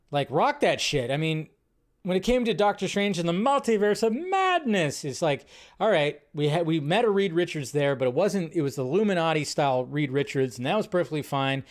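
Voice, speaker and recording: A young adult man hosting a casual live movie news stream, rambling and correcting himself as he talks. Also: a little high. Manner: rambling, self-correcting, chatty.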